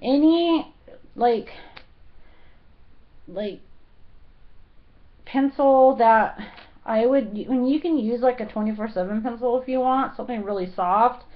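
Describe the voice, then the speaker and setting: A woman talking casually through a makeup tutorial, rambling and halting with many fillers, her voice slightly hoarse. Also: on the high side. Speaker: beauty vlogger. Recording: dull lo-fi home recording.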